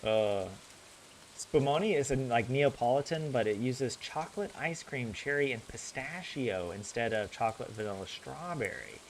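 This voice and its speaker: A man's voice: medium pitch, animated, moderate pace, slight background noise, poor audio. A young man with a general American accent chatting casually with his viewers while he plays a game, rambling and correcting himself as he goes. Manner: rambling, chatty, self-correcting, laughs often. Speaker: gaming streamer.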